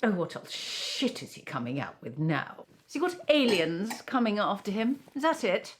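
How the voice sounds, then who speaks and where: A woman's voice: medium pitch, big pitch swings, moderate pace, slight background noise, natural voice. A woman playing a bitter, scornful middle-aged aunt with a British accent, acerbic and dramatic, in an interactive mystery film. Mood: scornful, animated. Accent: British English. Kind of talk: naturalistic screen acting in an interactive mystery film.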